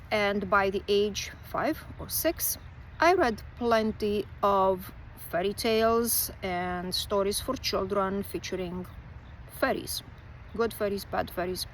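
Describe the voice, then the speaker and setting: A woman from Romania, a folklore writer and teacher talking through a reflective personal monologue with many pauses. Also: medium pitch, a bit bright, slight background noise, low-quality recording.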